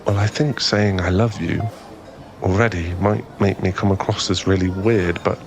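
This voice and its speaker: Low-voiced, bashful, flustered young man, acted by a man. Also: fairly even intonation, normal speed, faint music behind. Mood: earnest, smitten. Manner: hesitant, stammering, earnest, bashful. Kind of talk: ASMR boyfriend roleplay audio drama.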